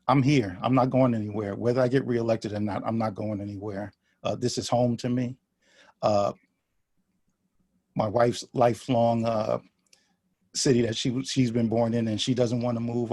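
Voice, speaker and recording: A man giving an unprepared closing statement as a school board candidate, halting with many fillers and restarts, on a video call. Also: fairly low pitch, gravelly, a little mellow, slightly rough, no room sound.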